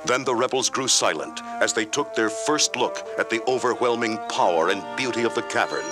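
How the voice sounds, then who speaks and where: Animated male storybook narrator over loud music. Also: medium pitch, normal speed. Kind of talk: dramatic scripted children's story narration.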